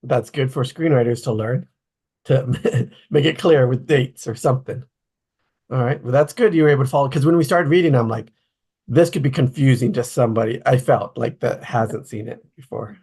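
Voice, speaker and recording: Slightly husky man hosting a casual chat. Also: mid-range voice, normal speed, dark tone. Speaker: host of a table read discussion. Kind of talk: casual online group chat.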